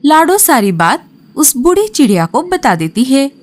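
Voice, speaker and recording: A woman's voice: high-pitched, big pitch swings, a little slow, a little hiss or hum. A woman narrating a scripted children's horror story as a storyteller. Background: music.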